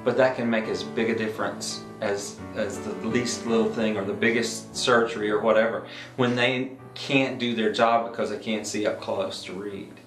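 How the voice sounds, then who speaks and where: A man in his fifties gives an explanatory interview as an eye care practitioner. His voice is fairly low and echoes in the room, with guitar music behind it. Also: fairly even intonation, moderate pace. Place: eye exam room indoors. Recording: roomy, music underneath.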